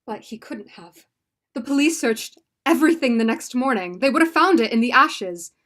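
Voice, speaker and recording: A woman's voice: on the high side, expressive, moderate pace, fairly bright tone. A student actress playing an anxious, doubtful married woman with earnest melodrama in a vintage radio mystery. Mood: doubtful, uneasy. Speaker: student actor.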